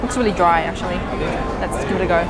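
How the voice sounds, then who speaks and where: A woman's voice: lowish voice, fast talker, over loud music, a loud crowd. A young woman giving frank, plain verdicts on buffet dishes, filmed at arm's length in a big restaurant. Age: young adult. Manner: frank, weighs each dish plainly. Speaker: food vlogger. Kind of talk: casual food review to camera. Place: large indoor buffet restaurant. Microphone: handheld camera at arm's length.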